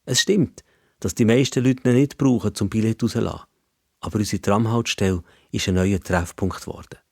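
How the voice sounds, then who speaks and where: A man's voice: lowish voice, fairly quick, creaky, a little mellow, no echo, clean studio sound. A man with a Swiss German accent narrating a children's story in the first person as a storyteller.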